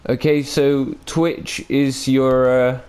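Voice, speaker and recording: A man's voice: medium pitch, flat delivery, takes it slowly, darkish tone, smooth. A man hosting a gaming video with casual, unscripted commentary, rambling and hesitant with loose, sweary language.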